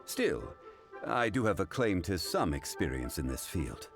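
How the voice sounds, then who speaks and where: A very deep, refined, polite scholar type, formal and measured, music under it. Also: male voice, big pitch swings, gravelly, heavily acted. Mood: composed. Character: calm, learned, modest man of refined speech. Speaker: funeral parlor consultant. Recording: game dialogue over music.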